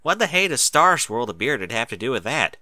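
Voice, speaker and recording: Blunt, protective farm pony mare acted by a man, folksy and forceful, animated storybook delivery. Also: mid-range voice, a little fast, no echo, studio quality. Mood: grumbling. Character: bossy, down-to-earth country farm pony mare, protective elder sister.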